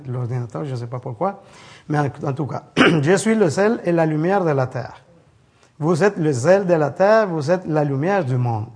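A man from El Salvador gives a loose, conversational sermon, often stumbling and restarting as he hunts for words. His voice sits a little higher than most men's. He mixes scripture with stories from his childhood. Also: darkish tone, breathy edge. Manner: halting, many restarts, storytelling. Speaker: preacher. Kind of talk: informal sermon with congregation.

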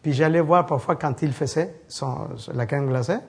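A man's voice: a little high, a little mellow, slightly rough. A man from El Salvador preaching an informal sermon to a small congregation, telling stories with frequent restarts and searching for words. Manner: halting, many restarts, storytelling.

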